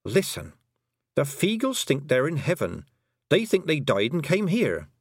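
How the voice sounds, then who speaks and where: A man's voice: a little high, wildly swooping pitch, measured pace, breathy edge, no room sound, clean studio sound, voice pushed up, full character voice. A man voicing a brave, earnest young girl with a questioning manner in an audiobook. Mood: insistent.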